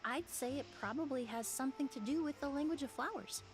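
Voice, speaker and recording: An actress playing a poised, gracious young female perfumer in a video game, speaking in a measured, polite way. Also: high voice, music in the background. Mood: composed, gracious. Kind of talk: video game dialogue acting.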